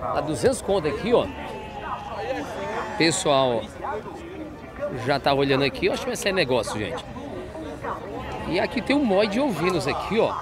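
A man's voice: fairly high pitch, sing-song, normal speed, pauses often. A man walking an outdoor livestock fair, chatting with sellers and repeating prices to viewers. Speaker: market reporter host. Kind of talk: walking market vlog, interviewing sellers. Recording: busy outdoor market crowd. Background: crowd chatter and animals.

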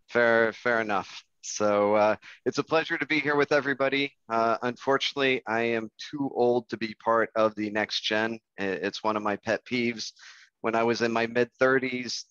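A man's voice: medium pitch, fairly bright tone, dry recording. An American man in his forties giving an informal business presentation on a video call, conversational and self-deprecating. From Kansas City, United States. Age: 40s. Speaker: coffee industry research presenter. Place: indoor room, on a video call. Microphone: video call microphone. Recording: dull, compressed video call audio.

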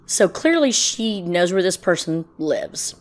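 A woman with a fairly low voice gives informal commentary as a host. She takes her time, speaking at a very unhurried pace. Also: very animated.

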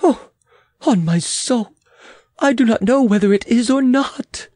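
A man's voice: high-pitched, big pitch swings, measured pace, darkish tone, slightly rough, no room sound, clean studio sound, pitched above the performer's own voice, full character voice. A man voicing a proud, imperious and agitated queen in a dramatic audiobook, haughty and exclamatory. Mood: bitter, haughty. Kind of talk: dramatic audiobook character reading.

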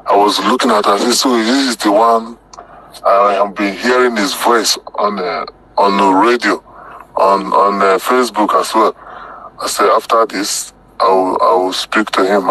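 Bright, forceful Nigerian man's voice. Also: fairly low pitch, a little hiss or hum, poor audio. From Delta State, Nigeria. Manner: halting, repetitive phrasing in short bursts. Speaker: storyteller recounting personal experience. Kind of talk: casual spoken testimony.

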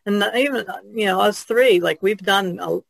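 Creaky-voiced woman in casual chat. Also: mid-range voice, moderate pace, no echo, poor audio. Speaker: artist, podcast guest.

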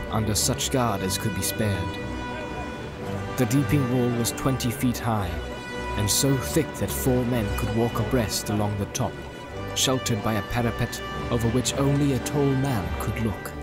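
A man's voice: mid-range voice, measured pace. Solemn male audiobook narrator over music. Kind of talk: dramatic scripted narration of fantasy novel.

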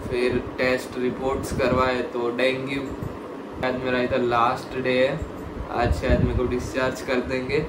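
A young man talks to the camera in a flat, even voice, telling his story in a relaxed way. His voice sits in an echoey hospital room with loud steady noise behind it. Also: mid-range voice, moderate pace. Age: young adult. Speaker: vlogger. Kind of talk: casual vlog talk to camera.

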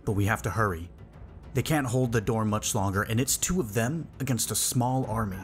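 A man's voice: on the low side, normal speed, natural voice. A man playing an anxious, pressing survivor aboard an alien ship in a sci-fi audio drama. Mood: alarmed, urgent. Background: music.

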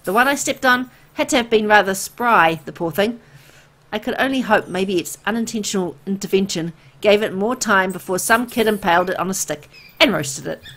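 A woman playing a weary, soft-hearted young woman who narrates her bleak town in a visual novel, reflective and conversational. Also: medium pitch, normal speed. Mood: pitying, somber. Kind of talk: scripted first-person visual novel narration. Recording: music under the voice. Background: background music.

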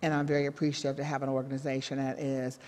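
A woman chairing a city council committee, speaking in a measured, appreciative way into a desk microphone. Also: extremely low pitch, flat delivery, mellow. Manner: measured, appreciative, with many thanks and fillers. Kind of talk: formal council proceedings. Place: large city council chamber. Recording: long reverb of a large chamber.

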